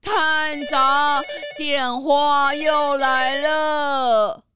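High-voiced, patient woodpecker secretary. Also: female voice, fairly even intonation, drawn-out words. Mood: concerned. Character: patient, polite female woodpecker detective-agency secretary. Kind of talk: children's detective audio drama.

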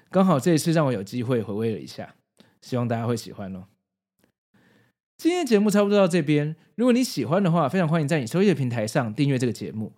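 A man's voice: mid-range voice, darkish tone. A man with a Taiwanese Mandarin accent, hosting a podcast with narration and casual commentary. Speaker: podcast host.

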